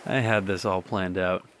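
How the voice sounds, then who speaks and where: Radio drama: male actor as nostalgic young man, lowish voice, reflective intimate monologue, surf in the background. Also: bright, forceful tone, full character voice. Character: wistful, nostalgic young man addressing a lost love. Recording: ocean waves and ambient noise behind. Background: ocean waves.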